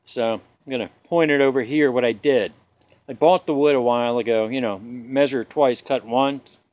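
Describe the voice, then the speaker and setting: A man's voice: mid-range voice, normal speed, darkish tone. A man in his forties, talking in a jokey, rambling, self-deprecating way while filming himself on a phone in a suburban front yard. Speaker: vlogger. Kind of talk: casual rambling vlog. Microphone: phone camera at arm's length.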